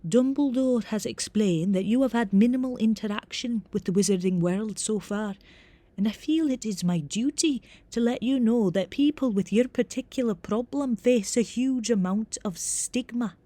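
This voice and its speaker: A woman's voice: on the high side, dark tone, dry recording, pitched above the performer's own voice, natural voice. A woman voicing a stern, formal older female teacher with a reedy Scottish accent in an audiobook. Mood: grave, firm. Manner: prim, measured, formal.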